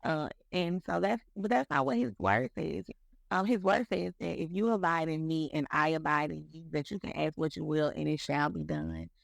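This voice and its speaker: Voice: mid-range voice, very animated, normal speed, creaky, no room sound. A speaker in their mid-thirties talking about faith on a podcast, in a rambling way, leaning on "like" and "you know" and repeating words. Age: 30s. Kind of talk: casual faith talk.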